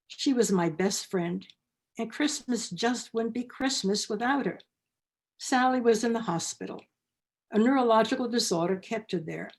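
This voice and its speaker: Husky woman telling a story. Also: medium pitch, a little mellow. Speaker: storyteller, actor. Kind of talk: dramatic storytelling of a holiday memory.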